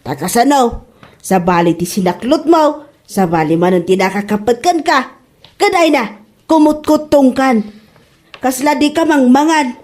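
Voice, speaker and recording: A woman's voice: high-pitched, normal speed, darkish tone, lightly acted. A woman playing a meddling, disapproving older mother who nags dramatically, with an Ilocano accent in a comedy drama. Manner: nagging, dramatic.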